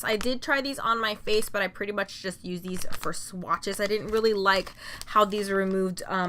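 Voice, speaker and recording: A woman's voice: mid-range voice, pushed and bright, a little hiss or hum. A young adult woman vlogger giving a casual, chatty product review, filling her talk with "like" and "um". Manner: chatty, many "like" and "um" fillers. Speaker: beauty vlogger.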